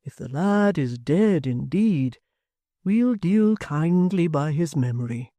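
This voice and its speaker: A reader voicing a gentle, kindly middle-aged locksmith in a storybook reading, speaking earnestly. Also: male voice, a little high, expressive, takes it slowly, no echo, voice pushed up.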